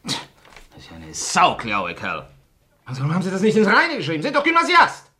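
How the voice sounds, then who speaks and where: A man's voice: sits high, huge pitch swings, fairly quick, pushed and bright, slight background noise, heavily acted. A man playing a bullying, snapping lieutenant in a radio drama, barking imperious commands. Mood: barking, contemptuous.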